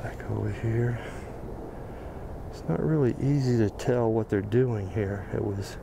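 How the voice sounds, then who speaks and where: A man with an American accent walks a construction site outdoors, talking casually to his camera and thinking aloud with plenty of pauses and fillers. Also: on the low side, creaky. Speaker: videographer on a walking site tour. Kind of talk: casual walking commentary. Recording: outdoor camera audio with noticeable background noise.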